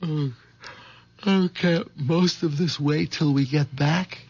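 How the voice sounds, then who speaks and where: A browbeaten, timid husband in an old radio mystery drama. His voice sits a little high, nervous and hesitant, on a dull, lo-fi recording. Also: slow pace, a little mellow, slightly rough, no room sound, heavily acted. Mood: meek, sulky. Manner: stammering, hesitant, flustered. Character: meek, browbeaten, nervous husband plotting murder.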